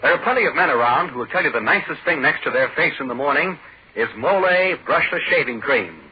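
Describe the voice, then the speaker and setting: A man's voice: medium pitch, animated, fast, soft background music. A man reading a scripted 1940s radio advertisement as a commercial announcer. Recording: muffled 1940s radio recording.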